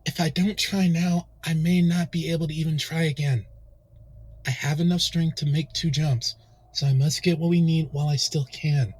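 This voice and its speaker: A man's voice: fairly high pitch, fairly even intonation, normal speed, a little mellow, slightly rough. A man voicing a protective young male pony, a weary husband speaking with earnest urgency in a dramatic fan fiction reading. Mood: resolute, grave.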